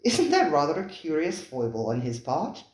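Storybook male dinner guest, read by a narrator: doubtful, formal, questioning, lively pitch, echoey recording. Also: moderate pace. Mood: sceptical. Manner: formal, sceptical.

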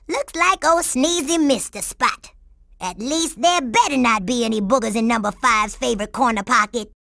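A woman's voice: high-pitched, gravelly, pushed and bright, dry recording, studio quality, full character voice. A woman voicing a sassy, tough, confident young girl secret agent in a cartoon video game, with streetwise slang. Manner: streetwise slang, refers to herself in third person.

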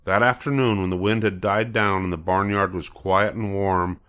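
A man's voice: low-pitched, fairly even intonation, moderate pace, a bit bright, clean tone. An older man narrating a children's book as a storybook reader.

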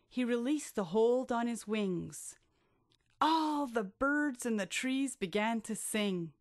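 A woman narrating a rhyming bedtime story for children with expressive, drawn-out delivery. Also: medium pitch, no echo, studio quality.

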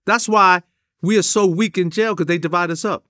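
A middle-aged man with a New York accent talks in an emphatic, streetwise way, packing his speech with slang. His voice sits a little higher than most men's, and he gestures as he tells his stories as an interview guest. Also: fairly even intonation, moderate pace, pushed and bright. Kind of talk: animated street storytelling. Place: indoor studio with a drum kit.

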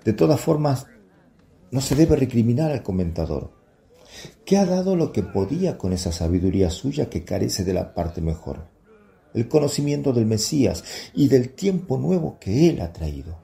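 A man acts out an earnest apostle leader in a radio play, preaching with solemn oratory. The voice is very dark and gravelly, rising and falling widely over music. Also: mid-range voice, sing-song, normal speed. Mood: solemn, instructive. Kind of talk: radio drama sermon.

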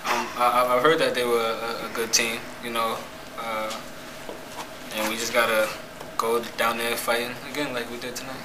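A young man answers questions at a postgame press conference, hesitant and modest, with plenty of fillers. His voice sits mid-range and stays fairly flat. Noise hangs under the press room audio. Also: mid-range voice, normal speed. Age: young adult. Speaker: college basketball player. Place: press room in front of a team backdrop.

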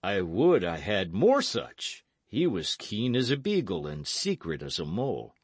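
A man voicing a reserved male nobleman who answers in a measured, hesitant way, close to his own voice. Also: lowish voice, wildly swooping pitch, dry recording, exaggerated voice. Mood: guarded.

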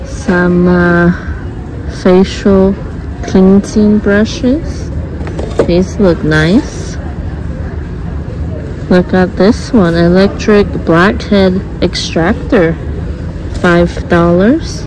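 Woman slowly reading out stuff and prices on her phone, store noise behind her. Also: fairly low pitch. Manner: unhurried, reads out items and prices, light chuckles. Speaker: shopping vlogger. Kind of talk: casual shop-with-me narration. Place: discount store aisles. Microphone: phone camera held by the speaker.

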